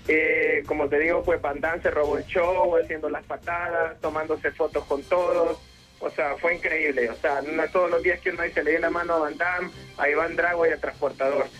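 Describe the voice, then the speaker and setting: A man's voice: fairly high pitch, steady tone, normal speed, a bit bright, faint music behind. A man from Honduras speaking as a correspondent on a film show, giving a casual, conversational report.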